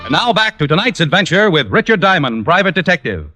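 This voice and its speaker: Bright, high-pitched vintage radio announcer man. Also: sing-song, fast, soft background music. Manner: polished, emphatic salesmanship. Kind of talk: 1940s scripted radio commercial.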